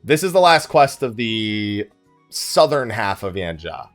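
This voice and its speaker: A man's voice: fairly low pitch, very animated, measured pace, faint music behind. A man giving casual let's play commentary, rambling and thinking aloud as he plays. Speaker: gaming YouTuber hosting a let's play.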